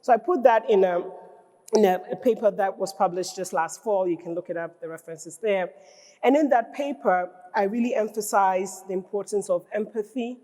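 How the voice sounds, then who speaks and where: A middle-aged woman from Ghana gives a keynote from a conference stage, her mellow voice trailing off into the long reverb of a large hall. She speaks thoughtfully, often repeating a word as she finds the next thought. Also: medium pitch, moderate pace. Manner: thoughtful, restarts phrases while gathering her thoughts.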